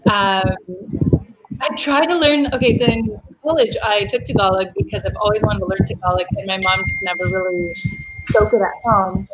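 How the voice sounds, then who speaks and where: A woman's voice: mid-range voice, moderate pace, heavy background noise. A young woman from the United States, talking casually as an interview guest, chatty with many fillers and laughs.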